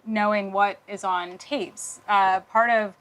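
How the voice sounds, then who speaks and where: A woman's voice: medium pitch, fairly even intonation, slow, a bit bright, low-quality recording. A woman, an archive preservationist, explaining her work in an interview. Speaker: archive preservation team lead.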